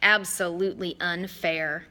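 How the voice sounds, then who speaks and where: A bored, haughty male god of wine whines and pouts like a sulky child, as a woman puts him on. The voice is loud and high, with a bright edge, swinging up and down in mock outrage. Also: big pitch swings, slow, exaggerated voice. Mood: pouting. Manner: whiny, pouting, theatrical.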